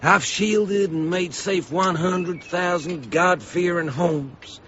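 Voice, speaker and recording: A man's voice: high voice, takes it slowly, a bit bright, slight background noise. A man playing an ominous, preacher-like lightning-rod salesman in a radio drama, urgent and theatrical. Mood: urgent warning. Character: fervent, ominous travelling lightning-rod salesman warning boys of storms. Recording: muffled radio drama with music.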